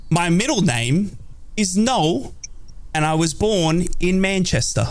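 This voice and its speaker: A man's voice: sits high, lively intonation, slow pace. A man co-hosting a sports radio show, presenting a quiz like a playful, teasing showman. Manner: theatrical, teasing. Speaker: sports radio co-host running a quiz segment.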